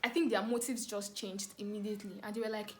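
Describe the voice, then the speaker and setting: A woman with a Nigerian accent telling a story casually to camera, animated and dramatic, repeating words for emphasis. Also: medium pitch, quick pace, very noisy. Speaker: vlogger telling a family story.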